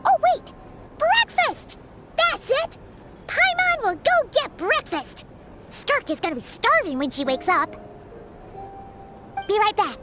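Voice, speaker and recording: A woman voicing a tiny, excitable girl companion who speaks of herself in the third person. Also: very high-pitched, takes it slowly, long pauses, very noisy, full character voice. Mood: excited. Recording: game audio over loud music.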